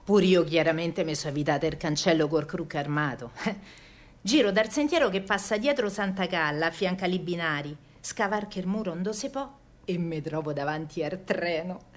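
A woman's voice: mid-range voice, lightly acted. An actress playing a feisty working-class woman of wartime Rome, telling her story in an earthy, colloquial Roman accent, as in a radio drama. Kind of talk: dramatic first-person radio monologue in dialect.

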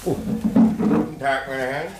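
A man's voice: medium pitch, flat delivery, takes it slowly, over loud music, close to an everyday voice. A man playing a dignified, older court president in a radio play, formal and commanding, with curious, curt questions. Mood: formal, brisk. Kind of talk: German radio play acting. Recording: noisy old radio play recording.

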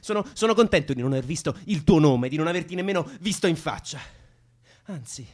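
An actor in an Italian radio drama playing a restless young lover, pleading and bitter, impassioned and confrontational. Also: male voice, fairly high pitch, lively intonation, a little fast, darkish tone. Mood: bitter, urgent.

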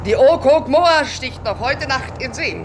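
Bold sea captain over engine noise. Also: female voice, high-pitched, lively intonation, a little slow. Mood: commanding. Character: bold, breezy female sea captain commanding her crew. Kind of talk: theatrical German radio acting. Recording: clear vehicle noise behind.

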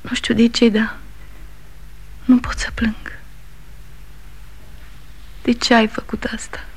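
An actress playing a grieving middle-aged widow in a 1960s radio drama, speaking in a hushed, breathy whisper. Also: female voice, a little high, flat delivery, poor audio.

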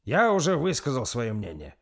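A man's voice: mid-range voice, wildly swooping pitch, normal speed, gravelly, pitched above the performer's own voice, heavily acted. A man voicing a smug, portly lord who makes self-satisfied declarations.

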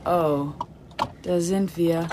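A woman's voice: fairly low pitch, fairly even intonation, very slow. A young woman playing a brash, flippant, slangy teenage girl in a radio drama. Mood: flippant, teasing. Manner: slangy, teasing. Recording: clear car noise behind voice. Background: vehicle ambience.

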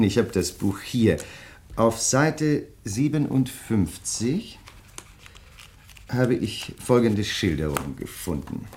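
An actor playing a polite, insistent crime novelist in a German radio play, speaking in a measured, deliberate way. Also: male voice, mid-range voice, darkish tone. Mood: polite, probing. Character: courteous, insistent male crime novelist probing a fellow writer.

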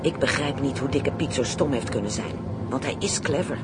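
A man acting in a radio play as a brash, greedy criminal, slangy, boastful and excitable. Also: normal speed, natural voice. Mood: gleeful, boastful. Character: brash, greedy, playful Amsterdam criminal plotting kidnappings. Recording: radio play with clear vehicle rumble.

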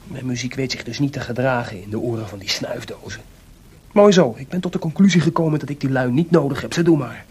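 An actor playing an impetuous, wry and defiant composer in a Dutch radio drama. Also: male voice, animated, quick pace, darkish tone. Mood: defiant, scornful. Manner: wry, informal, headstrong. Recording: clearly noisy, rumbling archive radio recording.

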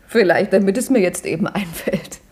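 An actress playing a thoughtful woman, musing and quoting slowly in a 1950s radio drama. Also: medium pitch, darkish tone, breathy edge, close to an everyday voice. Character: Fatime, thoughtful woman recalling a prophet.

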